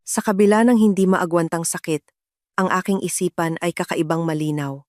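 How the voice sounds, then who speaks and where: Casting: female narrator for dramatic first-person audio stories, slightly low voice, studio-dry sound. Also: steady tone, normal speed, darkish tone, dry recording. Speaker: story narrator.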